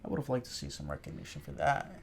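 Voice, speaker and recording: A man's voice: extremely low pitch, sing-song, rapid-fire, creaky, husky. A man co-hosting a film review podcast, talking casually like an enthusiastic fan. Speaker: podcast co-host reviewing films.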